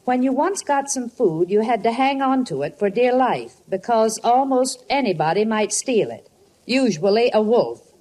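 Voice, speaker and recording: An animated 1950s radio mother. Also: on the high side, normal speed, low-quality recording. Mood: reading aloud. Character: homely middle-aged mother, mild and domestic. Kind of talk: 1950s radio comedy acting.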